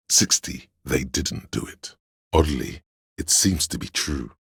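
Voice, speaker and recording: A scheming, theatrical servant of drama from a video game, counselling his liege in sly, archaic flourishes. The voice is profoundly low and thick with gravel. It is recorded close and very dry. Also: a bit bright, no room sound, exaggerated voice. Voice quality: gravelly, husky.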